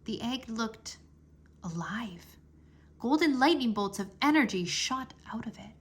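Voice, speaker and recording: A woman narrating a children's adventure book aloud with expressive, dramatic phrasing. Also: on the high side, measured pace, slight background noise. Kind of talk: children's storybook read-aloud.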